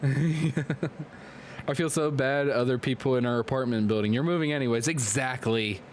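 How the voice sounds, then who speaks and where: A man hosting a live stream and excitedly calling play-by-play sports commentary while losing his voice from a clogged nose. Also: medium pitch, noisy background. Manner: excitable, hyped play-by-play with quick asides to chat. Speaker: league commentator and stream host.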